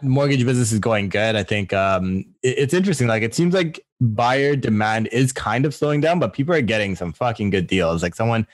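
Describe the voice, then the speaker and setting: Fast-talking low-voiced man co-host. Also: dry recording. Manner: quick, chatty, filler-heavy, casual swearing. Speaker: podcast co-host and mortgage broker. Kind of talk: casual podcast chat.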